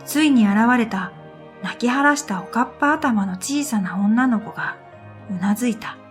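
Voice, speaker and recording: High-voiced woman narrating gently over music. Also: expressive, normal speed. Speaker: narrator and storyteller. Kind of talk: scripted first-person radio drama narration. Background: new-age music.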